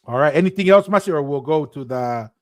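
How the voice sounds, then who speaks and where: A man co-hosting a casual chat show, animated and laughing easily, repeating phrases for emphasis. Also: a little high, a little fast, no echo, studio quality. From Tonga. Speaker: podcast co-host.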